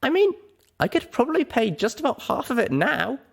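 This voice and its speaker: A man voicing a young female pony, earnest and emotional, in a high falsetto for a story reading. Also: huge pitch swings, fairly quick, creaky, heavily acted. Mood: dejected, hesitant.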